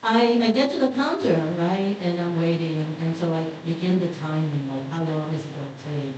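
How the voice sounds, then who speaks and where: A woman with a Cuban Spanish accent telling relaxed anecdotes on a panel, in a large hall. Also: low voice, moderate pace. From Cuba. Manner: relaxed, anecdotal, light laughter between points. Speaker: panelist artist. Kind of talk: conversational storytelling on a panel. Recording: echoey hall, voice from far off the mic, dull sound, faint noise.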